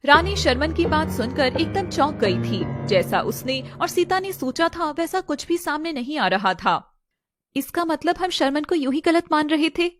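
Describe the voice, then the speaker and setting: A woman's voice: high-pitched, animated, moderate pace, over music. A woman narrator reading a scripted story in a dramatic style.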